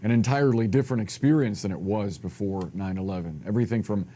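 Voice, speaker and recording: A man's voice: fairly low pitch, fast, warm tone, a little hiss or hum. A man with an American accent presenting live television news as an anchor.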